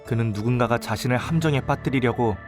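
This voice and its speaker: Observant young male sleuth voiced by an actor, audio drama, measured storytelling, mid-pitched, music clearly behind. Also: close to an everyday voice. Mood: composed, analytical. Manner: measured, explanatory. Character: composed, observant young male investigator telling his case. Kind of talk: audio drama narration and dialogue.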